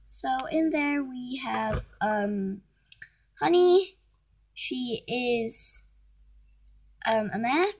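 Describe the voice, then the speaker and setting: A woman's voice: a little high, expressive, extremely slow, long pauses. A young woman giving a casual home video tour of her toy horse barn, hesitant and often correcting herself, filmed on a handheld phone indoors. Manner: hesitant, self-correcting, many ums. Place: carpeted room at home, indoors. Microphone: handheld phone camera.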